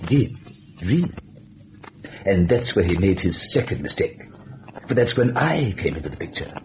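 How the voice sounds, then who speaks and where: An actor playing a sinister, gloating devil-like storyteller, narrating a radio horror drama theatrically. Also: male voice, fairly low pitch, a little mellow. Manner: sardonic, gloating. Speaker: narrator of the show. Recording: muffled old radio recording with music.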